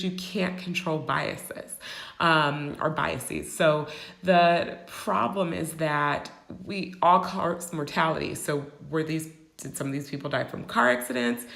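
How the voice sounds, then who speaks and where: Raspy low-voiced woman with a cold. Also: fairly bright tone. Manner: explanatory, with frequent fillers. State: hoarse from a cold. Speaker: host. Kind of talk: casual educational talk. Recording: echoey room.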